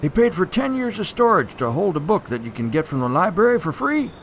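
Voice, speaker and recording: A man's voice: high-pitched, very animated, brisk, slight background noise, poor audio. A man acting in a radio drama as a skeptical, plain-spoken police officer with a casual, folksy manner. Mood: incredulous.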